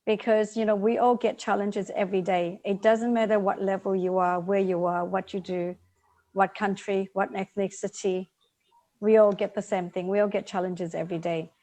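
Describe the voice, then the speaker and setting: A woman's voice: mid-range voice, flat delivery, normal speed, mellow. A woman in her forties from Vietnam, a guest author in a live video call interview, speaking earnestly, repeating key words and laughing lightly.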